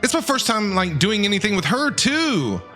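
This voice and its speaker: A man reacting casually as a video game streamer, excitable and slangy. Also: sits high, normal speed, faint music behind. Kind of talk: casual live reaction commentary.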